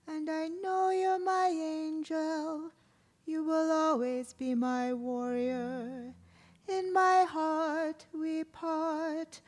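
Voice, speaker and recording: A woman playing a grieving queen in a stage play read-through, giving a solemn, drawn-out poetic lament. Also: high voice. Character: grieving Angkorian queen mourning her warrior king.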